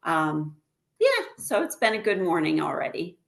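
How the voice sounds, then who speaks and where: A woman in her fifties from central New York, a guest chatting casually and warmly with frequent fillers about her busy days. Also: fairly low pitch, huge pitch swings, normal speed, vocal fry. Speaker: guest, former teacher turned house flipper. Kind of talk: casual interview chat.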